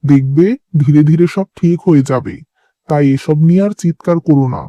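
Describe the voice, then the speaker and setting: A man as a mellow, placating father. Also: on the high side, moderate pace, dry recording, muffled recording, natural voice. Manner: placating, reasoning. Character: calm, placating middle-aged father and husband. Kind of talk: dramatic cartoon dialogue.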